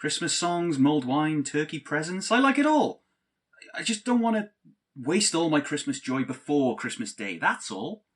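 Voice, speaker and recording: A man's voice: fairly high pitch, sing-song, normal speed. A man acting a patient but exasperated straight-man best friend, wry and long-suffering, in a comedic audio play. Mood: placating.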